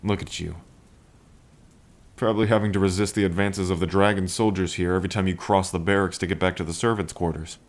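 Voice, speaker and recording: A man's voice: low-pitched, steady tone, brisk. A man playing a playful, lovestruck dragon king in an intimate ASMR roleplay, with a languid, teasing and tender manner. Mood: teasing, possessive. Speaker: roleplay voice performer. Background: rain.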